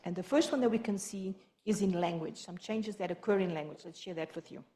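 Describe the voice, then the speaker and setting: A woman's voice: mid-range voice, dark tone. A woman gives a support group talk, hurrying through her points and often restarting sentences. She speaks as a health professional in an echoey meeting room. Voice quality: quick, halting delivery with many restarts.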